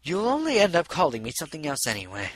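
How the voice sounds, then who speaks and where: A man's voice: on the high side, wildly swooping pitch, quick pace, a bit bright, dry recording, voice pushed up, exaggerated voice. A man voicing a haughty, skeptical female pony mayor in a storybook reading, cool and clipped in manner. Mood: irritated, disappointed.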